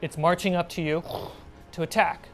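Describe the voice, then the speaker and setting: A woman's voice: lowish voice, steady tone, normal speed. A woman acting as game master, narrating a tabletop role-playing game in a casual, conversational way. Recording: music behind the voice. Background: music.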